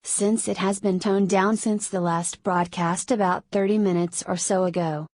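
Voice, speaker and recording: Female station announcer played by a woman for a fictional emergency broadcast: formal, measured news read, completely dry recording. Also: medium pitch, darkish tone, no room sound, natural voice. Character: female radio station newsreader reporting on city violence. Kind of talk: scripted emergency news bulletin.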